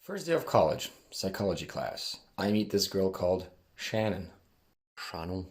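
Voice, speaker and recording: Deep-voiced young Swiss man. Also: sing-song, moderate pace. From Switzerland. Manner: wry, mocking storytelling. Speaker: student.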